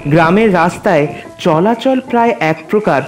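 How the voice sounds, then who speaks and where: A man narrating a literary story in a measured, expressive, formal reading. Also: high voice, soft background music.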